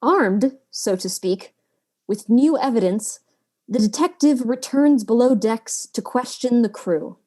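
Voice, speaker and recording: A woman narrating a comic mystery audio drama from a script, in a measured storyteller's way. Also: on the high side, animated, dark tone.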